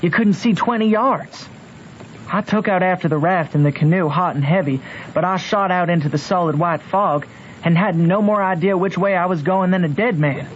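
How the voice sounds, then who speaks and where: Huck, high-voiced Southern backwoods boy played by a man. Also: normal speed, a little hiss or hum, close to an everyday voice. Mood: storytelling. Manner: folksy, matter-of-fact storytelling. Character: Huck, plainspoken backwoods boy telling his river adventures. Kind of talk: old-time radio drama narration and dialogue. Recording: muffled vintage radio recording, music behind.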